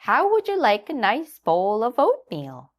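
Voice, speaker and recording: A woman putting on a high fussing hen nanny. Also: wildly swooping pitch, measured pace, heavily acted. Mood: sweet. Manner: high, sing-song and fussing. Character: fussy kindly hen babysitter, female, older.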